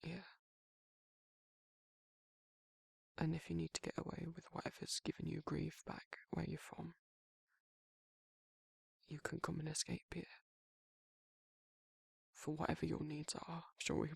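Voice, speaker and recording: A British man playing a protective but awkward male werewolf in an intimate romantic ASMR roleplay, speaking in a halting, flustered, husky voice. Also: on the high side, a bit bright, no room sound, exaggerated voice. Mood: reassuring, awkward. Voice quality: gravelly, husky, breathy. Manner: flustered, halting, stammering, trailing off.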